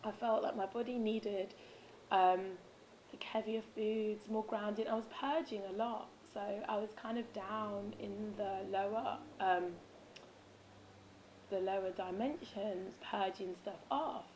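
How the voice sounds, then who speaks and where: A woman with a raspy, hoarse voice, chatting casually in a vlog about spiritual habits, with frequent ums and restarts. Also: mid-range voice, steady tone, a little slow, darkish tone, slight background noise, poor audio. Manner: rambling, with many ums and self-corrections. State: hoarse, losing her voice. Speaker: vlogger.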